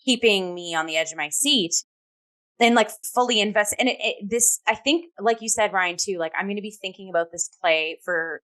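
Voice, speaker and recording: A woman's voice: mid-range voice, moderate pace, a bit bright. A woman co-hosting a theatre review show, talking casually and enthusiastically and peppering her speech with "like".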